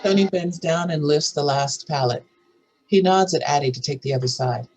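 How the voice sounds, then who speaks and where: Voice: mid-range voice, moderate pace, darkish tone, rough recording. A narrator reading screenplay stage directions and scene headings aloud at a scripted table read.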